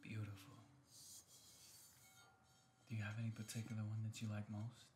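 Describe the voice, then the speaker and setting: A man in his thirties speaking softly in casual ASMR style, unhurried and often slipping into whispers. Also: lowish voice, monotone, over music. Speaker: ASMR host. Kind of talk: soft-spoken ASMR, casual chat to viewers. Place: small home room, indoors. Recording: faint background noise and room ambience.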